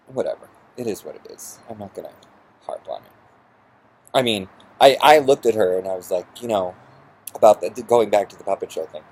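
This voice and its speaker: Male, lowish pitch, husky, sarcastic, exasperated, ranting vlog storytelling. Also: a little mellow. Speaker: vlogger.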